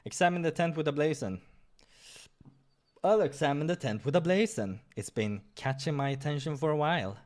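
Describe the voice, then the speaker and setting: A man's voice: on the high side, expressive, normal speed, darkish tone. A man hosting a game playthrough, reading visual novel narration and breaking off for casual asides.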